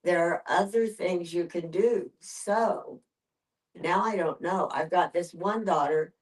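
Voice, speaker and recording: An older woman sharing personal reflections in a group discussion in a plainspoken way. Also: deep voice. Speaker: audience participant. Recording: voice distant from the microphone.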